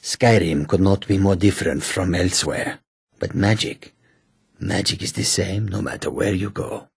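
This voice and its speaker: Deep, husky, self-assured catfolk adventurer. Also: male voice, fairly even intonation, normal speed, darkish tone, dry recording, studio quality, full character voice. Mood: proud, confident. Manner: self-assured, formal, speaks of himself in third person. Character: proud, courteous male catfolk mage and warrior. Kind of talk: video game character dialogue.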